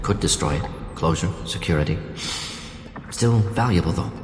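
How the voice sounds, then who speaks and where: An actor playing a brilliant, agitated, conscience-troubled alien scientist in a video game, speaking in clipped, telegraphic phrases. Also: male voice, low voice, normal speed, natural voice. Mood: pensive, brisk. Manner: clipped, telegraphic phrasing that drops small words. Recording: echoey reverberant hall, loud music behind.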